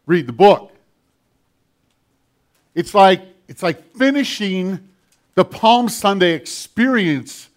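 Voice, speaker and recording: An older man preaching a sermon with a children's message, animated and storytelling with a big voice. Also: high voice, slow pace, pauses often.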